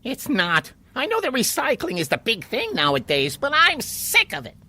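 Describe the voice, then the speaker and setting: A man's voice: very high-pitched, wildly swooping pitch, normal speed, bright, forceful tone, full character voice. A man playing a restless, self-important little water molecule in a children's radio play, complaining and urging. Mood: fed up. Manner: eager, complaining, urgent.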